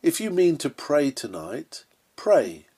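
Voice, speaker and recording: A man's voice: on the high side, wildly swooping pitch, takes it slowly, creaky, warm tone, breathy edge. A man reading an old-fashioned sermon aloud in an earnest, solemn and emphatic preaching manner. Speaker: sermon reader.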